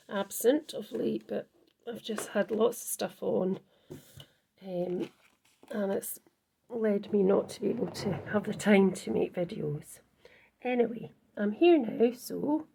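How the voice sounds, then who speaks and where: Slow, husky-voiced woman crafter. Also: medium pitch, warm tone. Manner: rambling, self-correcting, many ums. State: croaky, husky voice. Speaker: card-making channel host. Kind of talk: casual crafting tutorial chat.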